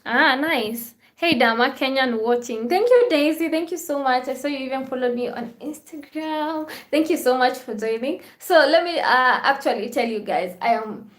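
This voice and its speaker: A woman's voice: high-pitched, normal speed, hardly any pauses, echoey room. A woman hosting a live vlog stream, chatting casually with viewers, reading their comments and thanking them often.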